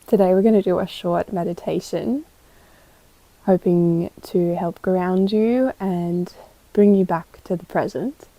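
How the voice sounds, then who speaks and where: A woman guiding a meditation as a yoga teacher, calm and gentle, giving unhurried instructions with pauses. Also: on the low side, no room sound, rough recording. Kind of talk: guided meditation.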